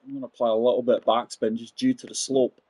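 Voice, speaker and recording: Husky-voiced man in his forties, chatting. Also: mid-range voice, little pitch movement, fast talker, a little mellow, dry recording. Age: 40s. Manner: chatty, talks straight to viewers as "guys". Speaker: game streamer. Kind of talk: live gameplay commentary.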